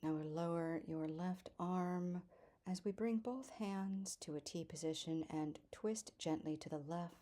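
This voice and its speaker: A woman leads a yoga class in a low, mellow voice. She gives calm cues at an unhurried, slow pace, letting the ends of phrases fall soft and breathy. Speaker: yoga teacher.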